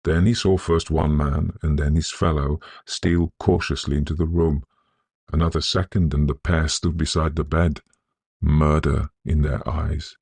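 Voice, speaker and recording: A man narrating a literary ghost story in a measured, solemn, scholarly manner, with a gravelly, husky voice. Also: rumbling low, steady tone, darkish tone, dry recording. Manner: measured, deliberate, solemn delivery.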